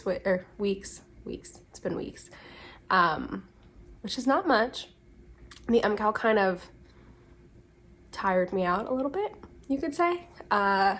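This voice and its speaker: Chatty young woman with a cold. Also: mid-range voice. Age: 20s. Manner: chatty, rambling, with frequent ums and self-corrections. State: slightly congested from a cold. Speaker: podcast host. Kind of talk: casual chat. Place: home during a windy day.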